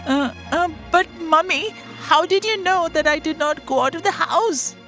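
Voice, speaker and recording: A woman's voice: very high-pitched, normal speed, fairly bright tone. A woman playing a boastful, lazy young daughter-in-law in a moral-story cartoon, with a wheedling manner, over music. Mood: protesting. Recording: music behind the voice.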